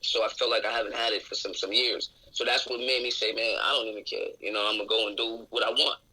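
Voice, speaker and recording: A raspy-voiced man in his twenties, a guest on a radio interview, talking openly and reflectively about his life. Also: on the low side, fairly even intonation, fast talker, sharp tone, rough recording. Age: 20s. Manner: outspoken, open and reflective. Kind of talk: candid casual conversation. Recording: radio show recording.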